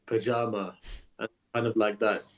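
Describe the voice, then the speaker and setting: A man, an imam, speaking as a guest in a conversational interview, emphatic and animated, often repeating his words as he builds a point. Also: lowish voice, moderate pace.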